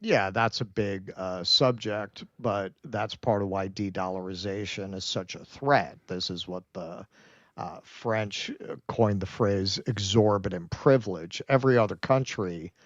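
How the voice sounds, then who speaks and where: A man's voice: lowish voice, a little slow, dry recording, highs cut off. Blunt, swearing man on a livestream. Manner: blunt, swears freely, addresses listener as "man". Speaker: co-host. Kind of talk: casual livestream chat.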